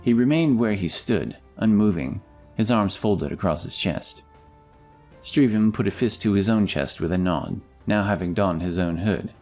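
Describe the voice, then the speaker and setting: A man narrating a fantasy story in a measured, dramatic scripted style. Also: low-pitched, darkish tone. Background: music.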